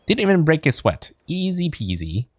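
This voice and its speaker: A man's voice: a little high, sing-song, moderate pace, breathy edge, pitched above the performer's own voice. A man voicing a confident, easygoing athletic coach while reading game dialogue aloud, breezy and good-natured.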